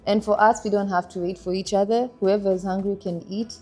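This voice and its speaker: Young woman from kenya telling stories, mellow voice, soft music behind. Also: medium pitch, fairly quick. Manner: animated, laughs while telling stories. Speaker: interviewee, wife in a couple. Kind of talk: casual conversational storytelling.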